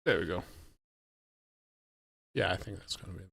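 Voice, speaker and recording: A man's voice: deep voice, normal speed, vocal fry, fairly bright tone, breathy edge. A man in his late thirties from Croatia, chatting casually and a little hesitantly on a livestream at his desk. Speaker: livestreamer learning game development. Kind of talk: casual livestream chat while working. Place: indoor room at a desk. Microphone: close desk microphone on an arm.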